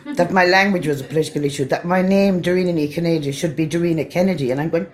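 Irish woman poet, low voice, measured readings with casual asides. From Ireland. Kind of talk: poetry reading with chatty asides.

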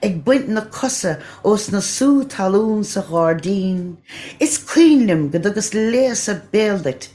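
A woman with an Irish accent, giving a poetry reading with chatty asides between the poems, reciting in a measured, unhurried way. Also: medium pitch, expressive, dark tone. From Ireland. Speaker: poet.

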